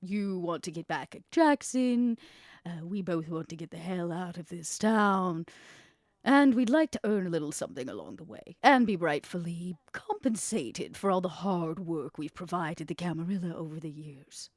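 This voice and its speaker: A woman's voice: fairly low pitch, animated, dry recording, studio quality. Woman playing a sly, scheming vampire crime boss. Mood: sly, persuasive. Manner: sly, theatrical, deliberate. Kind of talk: in-character tabletop roleplay.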